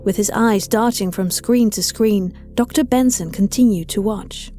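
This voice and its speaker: Mellow, dark-voiced woman narrating fiction. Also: mid-range voice, measured pace. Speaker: story narrator. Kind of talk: scripted audio fiction narration. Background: music.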